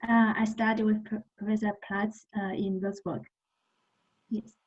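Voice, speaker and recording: A woman from Taiwan introducing herself haltingly as a composition student. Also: medium pitch, little pitch movement, darkish tone, slightly rough, dull audio.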